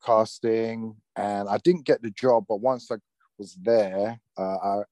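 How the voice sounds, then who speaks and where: A man in his forties with a London accent talks in a low voice, loose and easygoing, with plenty of "you know" as he goes. His first language was Thai, and the sound is dry and close with no room around it. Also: steady tone, slow pace, dark tone, no echo. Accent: London English. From London, England. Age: 40s. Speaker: actor being interviewed. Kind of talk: casual interview talk.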